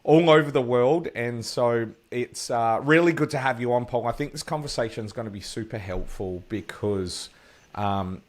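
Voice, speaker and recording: A man's voice: medium pitch, moderate pace. An Australian man hosting an interview podcast, enthusiastic and chatty, with frequent fillers and restarts. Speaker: podcast host.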